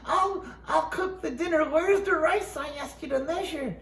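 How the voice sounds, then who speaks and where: A man voicing an exasperated, loud housewife from a children's storybook. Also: very high voice, normal speed, roomy sound, distant mic, pitched above the performer's own voice.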